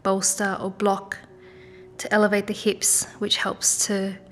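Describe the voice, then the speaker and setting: A woman leading a guided meditation in a soft, gentle, soothing manner with unhurried pauses. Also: medium pitch, completely flat delivery. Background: music.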